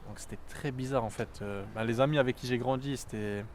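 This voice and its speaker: A man in his twenties from France, talking earnestly and reflectively in a documentary interview, often pausing to think. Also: mid-range voice, a little hiss or hum. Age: 20s.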